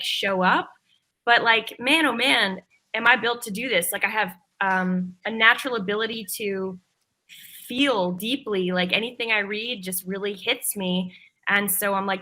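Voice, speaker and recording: A woman's voice: mid-range voice, bright, forceful tone. A woman talking casually as a voice actor on a panel, earnest and emphatic in an informal way. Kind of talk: casual roundtable discussion.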